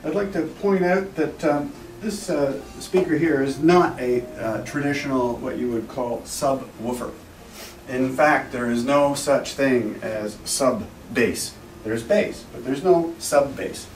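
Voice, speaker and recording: A man giving an informal, technical product presentation as a company representative, explaining things in a measured, slightly formal way. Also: mid-range voice, slight background noise. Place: echoey indoor trade show demo room. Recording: roomy, distant mic, music in background.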